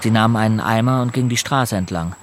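An actor narrating a first-person story of boyhood in a German audio drama, plain and measured. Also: male voice, fairly low pitch, slight background noise, close to an everyday voice. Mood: observant. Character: male narrator looking back on a rough boyhood. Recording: faint music behind.

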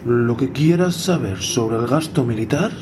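A man's voice: mid-range voice, animated, a little slow, lightly acted. A man acting in a radio drama as a self-assured antimilitarist train passenger, speaking in an earnest, boastful, lecturing way. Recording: clearly noisy background.

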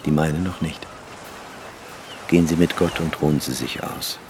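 An actor in a German radio drama playing a grave, dutiful spiritual leader who speaks formally and deliberately. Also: male voice, rumbling low, noisy background. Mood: solemn, settled.

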